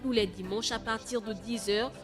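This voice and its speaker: A woman reading a scripted radio station promo in a measured voice, with key words echoed back. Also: fairly high pitch, fairly even intonation. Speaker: station jingle announcer. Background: music.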